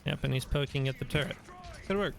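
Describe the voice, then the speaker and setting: A man coaching players through a game replay, talking in a relaxed, instructive way with many ums, his voice a little nasal. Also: medium pitch, fast talker, creaky. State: slightly nasal, voice going.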